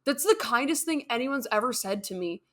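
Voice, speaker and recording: A woman's voice: a little high, lively intonation, fairly quick. A woman voicing a tearful, earnest male emo rock musician in a comedic story. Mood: touched. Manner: melodramatic, earnest.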